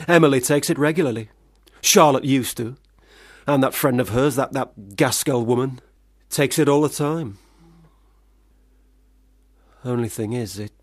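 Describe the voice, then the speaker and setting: A young gentleman writer gone to seed, in a period radio drama. He speaks in ornate, pompous phrases with wounded self-regard. The sound is dry and close, at a middling pitch. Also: no room sound. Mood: drowsy, defensive. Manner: formal, wordy, pompous period diction. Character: Branwell Bronte, failed, self-pitying, gin-drinking young gentleman writer. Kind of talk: radio play monologue and dialogue.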